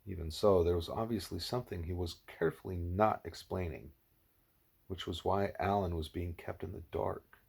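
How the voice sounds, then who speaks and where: Low-voiced man narrating an audiobook. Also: steady tone, normal speed, vocal fry, darkish tone, breathy edge. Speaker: audiobook reader. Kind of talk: scripted first-person story narration.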